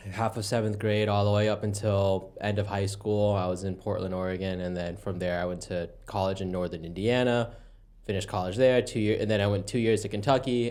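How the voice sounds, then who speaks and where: A man's voice: deep voice, darkish tone. A young American man, a comedian guest, chatting about his life in an easygoing, rambling way. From United States. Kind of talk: casual podcast chat. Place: podcast studio.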